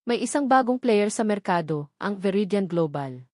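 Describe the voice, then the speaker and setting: A woman's voice: mid-range voice, lively intonation, normal speed, mellow, no room sound, clean studio sound, lightly acted. A woman with a Filipino accent voicing a worried, determined businessman and devoted husband in an audio drama, serious and firm. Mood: concerned.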